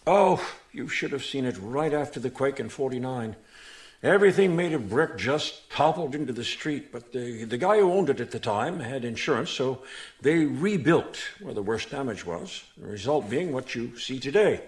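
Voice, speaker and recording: An actor playing a worried, candid manager of a struggling theater in a radio mystery, talking in a chatty, slightly hesitant way. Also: male voice, mid-range voice, lively intonation, normal speed, natural voice. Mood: rueful, chatty. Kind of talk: radio mystery drama acting.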